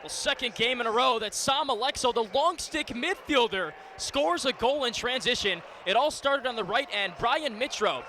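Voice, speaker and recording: High-pitched male sports analyst in game noise. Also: animated, moderate pace, hardly any pauses, bright, forceful tone. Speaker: colour analyst. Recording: noisy live broadcast from the game. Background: clear game noise.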